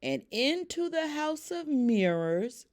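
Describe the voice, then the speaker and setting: A woman voicing excitable, scheming male plush carnival toys in a storybook, with a boastful, theatrical delivery. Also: high voice, takes it slowly, voice pushed up, full character voice. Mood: gleeful plotting.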